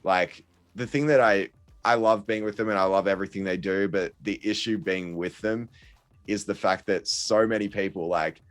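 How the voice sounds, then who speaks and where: A man's voice: on the low side, fairly even intonation, normal speed. A young man talking casually as a podcast guest, hesitant, with many ums and restarts. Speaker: guest content creator.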